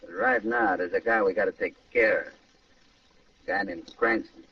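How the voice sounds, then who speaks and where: A man's voice: on the low side, very animated, normal speed, vocal fry, slightly rough, full character voice. An actor playing a slangy, scheming small-time American gangster and ladies' man in an old-time radio crime drama, wheedling in tough street talk. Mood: coaxing, menacing. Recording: muffled old radio recording, distant mic.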